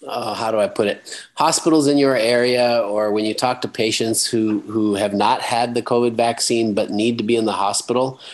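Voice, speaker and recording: A man hosting an interview, agreeing readily and asking his guest questions. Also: medium pitch. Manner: agreeable, asks questions with backchannels. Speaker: interview host.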